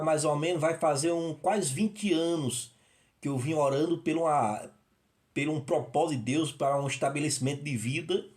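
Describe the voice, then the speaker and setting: A man from northeastern Brazil preaches on prayer in an informal, home-recorded sermon. His voice sits somewhat high for a man. He rambles, circling back and repeating himself, often restarting his sentences. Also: darkish tone. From Northeast Brazil (Rio Grande do Norte). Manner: rambling, with many restarts and repetitions. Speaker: evangelist. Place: his apartment.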